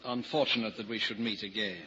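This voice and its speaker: An actor playing a jaded, sardonic, hard-drinking lawyer in a theatrical vintage radio drama style. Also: male voice, fairly low pitch, steady tone, a little fast, sharp tone. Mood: cold, sardonic. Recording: dull, noisy old-time radio recording.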